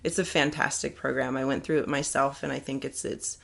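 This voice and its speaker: A woman's voice: extremely low pitch, level tone, fairly quick, vocal fry, fairly bright tone. A woman, a climbing guide, talking frankly and earnestly in a casual interview, laughing easily. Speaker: climbing guide and podcast guest.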